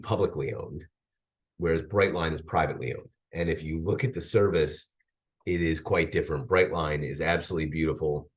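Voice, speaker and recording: A man co-hosting a podcast, speaking enthusiastically and emphatically in casual conversation. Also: very deep voice.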